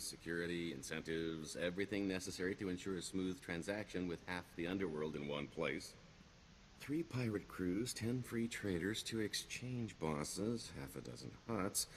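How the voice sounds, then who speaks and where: A man's voice: deep voice, moderate pace, slight background noise, low-quality recording. A man playing a roguish middle-aged career criminal in a video game, wry and boastful, with a wheedling, theatrical sarcasm.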